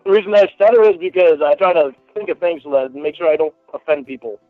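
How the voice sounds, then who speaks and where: A man with a stutter talking casually in a halting, defensive way. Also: a little high, dry recording. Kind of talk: casual phone conversation. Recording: tinny phone-like line, music behind.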